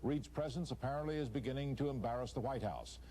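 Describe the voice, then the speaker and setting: A man reads scripted news as a television anchor. His voice is fairly mellow and of medium pitch. There is faint noise on the taped broadcast. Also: fast talker.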